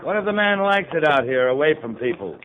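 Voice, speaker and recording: An American actor playing a stern, demanding cavalry captain in a 1950s radio drama, giving clipped orders and challenging questions. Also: male voice, on the high side, sing-song, a little fast, narrow, tinny audio. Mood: testy, challenging. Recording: noisy, band-limited 1950s radio recording.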